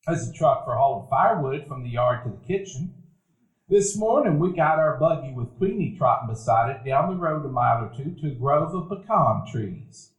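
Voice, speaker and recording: A man narrating a live radio play in a reflective, storytelling way. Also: fairly high pitch. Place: event hall before a live audience. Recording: echoey hall recording.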